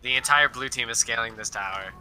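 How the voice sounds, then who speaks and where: A man's voice: medium pitch, a little fast, bright, forceful tone, low-quality recording. A man chatting casually as he plays along in a video game. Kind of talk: casual gaming chat.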